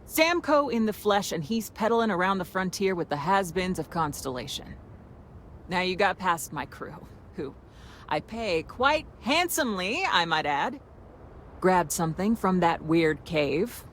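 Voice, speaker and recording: Slow-drawling, menacing female gang boss. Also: lowish voice, big pitch swings, a little hiss or hum. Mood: sardonic disdain. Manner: taunting, drawling, theatrical. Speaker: video game character. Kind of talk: video game voice acting.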